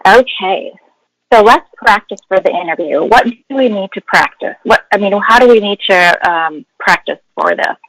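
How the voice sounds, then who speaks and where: A woman's voice: medium pitch, moderate pace, fairly bright tone, no echo, low-quality recording. A woman speaking as a guest HR expert, explaining in an instructive webinar style with emphasis on key words.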